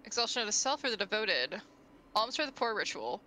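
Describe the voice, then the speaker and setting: A woman's voice: medium pitch, quick pace, slight background noise. A woman with a slight lisp on her S sounds, chatting casually and reacting playfully while she plays a game. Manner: animated, playful, shouts at the game. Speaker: vtuber playing a game. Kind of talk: casual chat while gaming.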